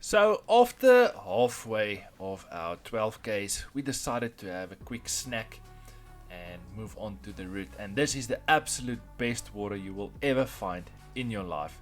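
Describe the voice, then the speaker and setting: A man from South Africa with an Afrikaans accent, narrating a casual hiking vlog outdoors, plain and encouraging, with frequent fillers. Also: fairly low pitch. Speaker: vlogger. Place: outdoors on a rainforest trail.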